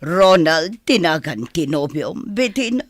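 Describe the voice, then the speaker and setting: A woman's voice: low voice, lively intonation, measured pace. A woman playing a stern, disapproving mistress of the household and mother in an Ilocano radio comedy drama. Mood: indignant, stern.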